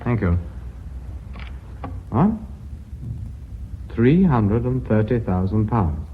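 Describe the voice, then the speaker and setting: An actor playing an earnest British company director in a radio drama, formal and emphatic. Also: male voice, fairly low pitch, sing-song, extremely slow, slight background noise, heavily acted. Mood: businesslike. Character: earnest, brisk company director defending his secretary. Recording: muffled old radio recording.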